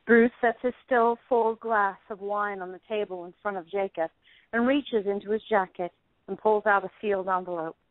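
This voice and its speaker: A woman's voice: mid-range voice, steady tone, normal speed, no room sound. A woman reading scripted stage directions as the narrator of an audio play. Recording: phone-like lo-fi line.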